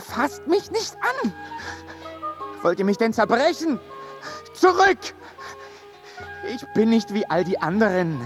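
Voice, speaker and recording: An actor playing a deluded, fearful man who believes he is made of glass, speaking in halting, theatrical radio drama style with anguished repetitions. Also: extremely high pitch, wildly swooping pitch, over music, heavily acted. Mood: panicked.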